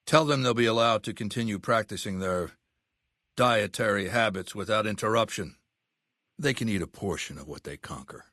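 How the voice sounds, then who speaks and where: A man playing a ruthless, commanding warlord dictator in a video game, menacing and condescending, speaking deliberately. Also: on the low side, fairly bright tone, dry recording, studio quality. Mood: calculating, commanding. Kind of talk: video game character voice acting.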